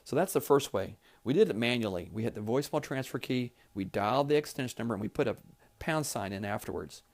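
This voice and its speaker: A man's voice: mid-range voice, lively intonation, fast talker. A man giving a step-by-step, plain-spoken instructional tutorial as a trainer demonstrating office equipment.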